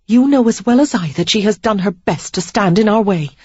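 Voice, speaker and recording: A woman's voice: mid-range voice, fairly quick, darkish tone, husky, dry recording, highs cut off, voice pushed up, natural voice. A woman reader voicing an upset young woman who pleads and insists, close to tears. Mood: pleading, resolute. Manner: pleading, emphatic, near tears. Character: young woman, frustrated and resolute suitor.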